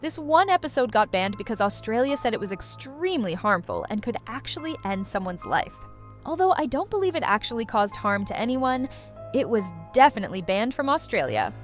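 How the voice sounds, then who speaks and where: A woman's voice: fairly high pitch, big pitch swings, brisk, soft background music. A woman hosting a video with casual, playful, exaggerated commentary for young viewers. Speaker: host of a reaction video channel.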